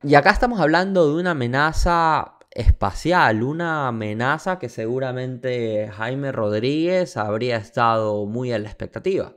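A man's voice: medium pitch, expressive, moderate pace, hardly any pauses, fairly bright tone. A man giving casual storytelling commentary as a podcast co-host.

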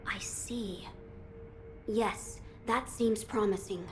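A woman's voice: mid-range voice, fairly even intonation, slow pace, a little hiss or hum, natural voice. A woman voicing an earnest, dignified young noble boy in a video game, formal and polite. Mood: earnest, gracious.

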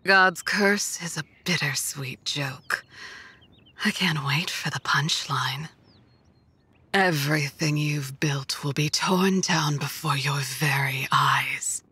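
A woman voicing a haughty, menacing sorceress in a video game, theatrical and breathy. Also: deep voice, slow, pushed and bright, full character voice. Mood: cold menace. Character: sinister, haughty dark sorceress with godlike contempt. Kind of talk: video game character voice lines.

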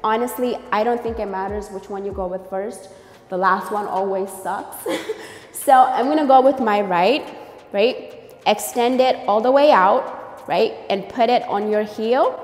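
Slow-talking coaching woman in echoey gym. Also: mid-range voice, darkish tone. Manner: upbeat and coaching, with frequent "okay?" and "right?" check-ins. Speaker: fitness coach. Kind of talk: workout tutorial. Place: large gym room with glass walls. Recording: echoey room, distant mic, faint music.

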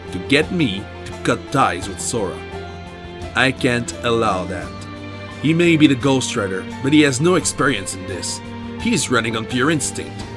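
A man playing a stoic, seasoned and calculating mentor in an audio drama, speaking in a measured way. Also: medium pitch, close to an everyday voice. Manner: measured, unemotional. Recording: music clearly under the voice.

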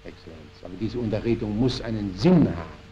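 A man's voice: lowish voice, lively intonation, a little fast, mellow, slight background noise, voice pushed down, close to an everyday voice. A man reading a play as a fervent young nationalist militant, pressing and emphatic, in a historical recording. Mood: urgent. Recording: dull historical archive recording.

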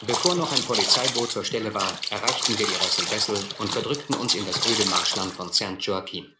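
An actor as a reflective first-person narrator recalling a boyhood adventure in a German radio play. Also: male voice, lowish voice, a little fast, roomy sound, very noisy, natural voice.

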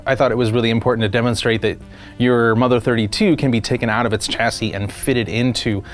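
A man talks through equipment as an expert demonstrator in a studio. His pitch is mid-range and his phrasing halts as he works through technical details. Music plays behind him. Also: steady tone, fast. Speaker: product demonstrator and synth expert. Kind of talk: explanatory product demo chat. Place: small studio room, indoors.

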